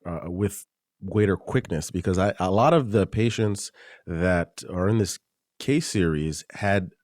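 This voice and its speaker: Host, male, very low voice, measured and thoughtful questioning. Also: a little mellow, no echo, studio quality. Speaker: podcast host. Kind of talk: interviewer asking questions.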